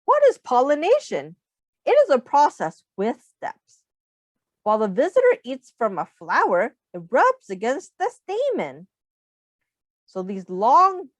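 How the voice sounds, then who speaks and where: A woman reading a children's book aloud as a teacher, expressively, pausing to explain things simply and ask young listeners questions. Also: a little high, dry recording.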